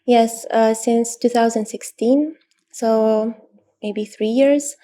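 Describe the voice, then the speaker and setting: Romanian woman, 30s, interview guest; mellow, gravelly tone, even delivery, pauses to think. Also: fairly high pitch. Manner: thoughtful, many pauses and fillers, soft laughs. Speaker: astrologer guest. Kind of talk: interview answers.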